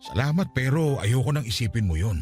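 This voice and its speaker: A man's voice: mid-range voice, big pitch swings, brisk, a little mellow, faint music behind, close to an everyday voice. An actor playing an ailing middle-aged Filipino father and former seaman, tender and wounded, speaking earnestly in a radio drama. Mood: grateful, tender. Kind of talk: Tagalog radio drama acting.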